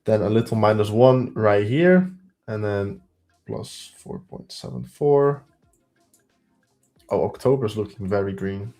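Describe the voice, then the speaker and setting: Slow-talking man with a heavy Belgian accent. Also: lowish voice, expressive, dark tone. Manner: unhurried, thinks aloud while counting trades. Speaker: day trading streamer. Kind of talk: live trading stream commentary.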